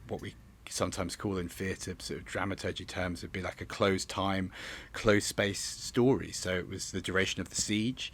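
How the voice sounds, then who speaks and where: A man with a low voice talks as an interview guest. He rambles and hedges as he thinks aloud, with some noticeable background noise and room ambience. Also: steady tone, moderate pace, fairly bright tone. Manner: rambling, hedging with many 'sort of's. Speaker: guest, theatre director. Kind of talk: interview answer, conversational.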